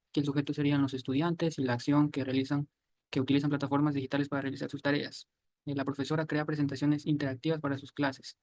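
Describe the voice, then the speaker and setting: Flat, higher-voiced man explaining a lesson. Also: no echo. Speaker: presenter of a grammar lesson. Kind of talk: scripted lesson narration.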